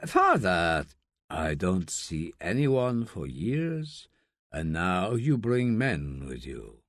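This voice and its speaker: A man voicing a volatile, secretive older father, talking slowly and deliberately. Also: deep voice, big pitch swings, a bit bright, dry recording, clean studio sound, voice pushed down, heavily acted. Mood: gruff, mocking.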